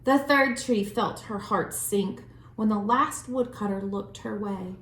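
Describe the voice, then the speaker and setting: A woman reading a children's storybook aloud as a storyteller, with expressive, deliberate phrasing. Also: mid-range voice. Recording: roomy, echoing room sound.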